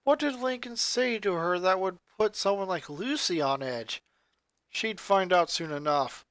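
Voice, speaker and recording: Expressive story narrator, close dry sound. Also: mid-range voice, a little slow, no echo. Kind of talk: expressive scripted fan fiction narration.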